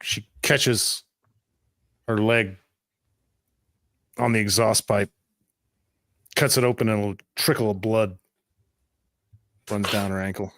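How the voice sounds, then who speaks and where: A man's voice: fairly low pitch, fairly even intonation, slow pace, long pauses, a bit bright, no echo. A man narrating an improvised tabletop roleplaying game as game master.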